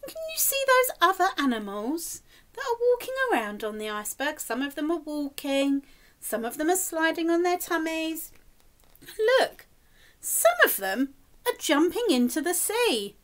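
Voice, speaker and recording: A middle-aged woman reading a picture book aloud to young children, expressively and deliberately, prompting them with questions. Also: sits high. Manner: animated, slow and deliberate, prompting young listeners. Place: indoors, small room with decorated wall.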